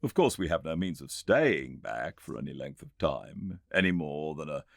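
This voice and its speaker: A man voicing a confident, reasoning gentleman inventor in a storybook reading, with a measured, lecturing manner. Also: very deep voice, sing-song, vocal fry, no room sound, clean studio sound, full character voice. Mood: earnest, lecturing. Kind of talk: audiobook character reading.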